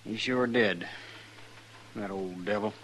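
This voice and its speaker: Forceful, folksy cowboy, Western drawl. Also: male voice, on the low side, slow. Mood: rueful. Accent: American Western drawl. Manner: folksy, earnest. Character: plain-spoken male ranch hand protesting his innocence. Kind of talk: 1950s radio drama acting. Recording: noisy old radio recording.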